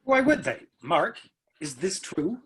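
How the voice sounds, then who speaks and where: A man's voice: high voice, sing-song, takes it slowly, fairly bright tone, a little hiss or hum, exaggerated voice. A man acting a genial, chatty middle-aged businessman father in a play reading. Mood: concerned.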